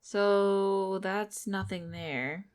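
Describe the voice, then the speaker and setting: A woman's voice: mid-range voice, drawn-out words, clear, resonant tone. A woman giving casual gameplay commentary, thinking aloud as she goes. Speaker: video game streamer. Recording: game music behind the voice.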